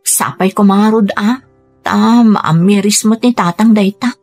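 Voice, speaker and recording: An actress playing a dutiful, weary young Filipina daughter with an Ilocano accent, earnest and plaintive in a radio drama. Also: female voice, mid-range voice, unhurried, a little mellow, soft background music. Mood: troubled, weary. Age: young adult.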